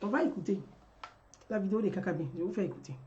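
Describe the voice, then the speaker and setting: A woman's voice: mid-range voice, fast, dark tone, muffled recording. A woman, delivering a rhetorical political commentary and repeating her questions. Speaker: commentary vlogger.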